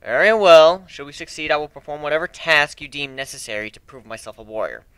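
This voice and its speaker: A man's voice: a little high, lively intonation, brisk, fairly bright tone, smooth, no room sound, low-quality recording. A man playing a confident, commanding male pirate crew leader in a fantasy story reading, assertive and reasoning. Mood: firm resolve.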